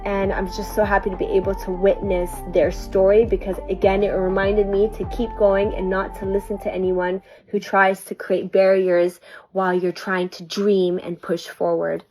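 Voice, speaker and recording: A woman's voice: mid-range voice, level tone, normal speed, darkish tone. Earnest woman vlogging over music. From Canada. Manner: heartfelt, earnest, motivational. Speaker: performing artist. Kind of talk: reflective personal vlog.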